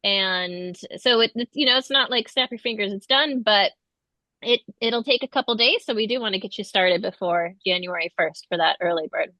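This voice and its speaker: A woman chatting casually and a little haltingly as a trip host on an online call. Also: medium pitch, bright, forceful tone, no echo, muffled recording. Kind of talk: casual webinar chat.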